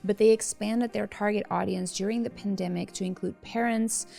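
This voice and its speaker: A woman co-hosts a podcast, shifting from read narration to loose chat full of fillers. Her voice has a gravelly fry to it. Faint music sits quietly underneath. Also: mid-range voice, steady tone, normal speed. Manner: chatty, many fillers in conversation. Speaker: podcast co-host. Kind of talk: scripted narration and casual chat.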